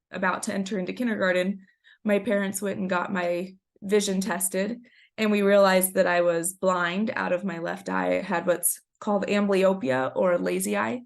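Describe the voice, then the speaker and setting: A woman's voice: mid-range voice, creaky. Slightly scratchy woman presenting a webinar. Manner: chatty, storytelling, frequent ums. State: getting over a cold, a little scratchy. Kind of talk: conversational presentation.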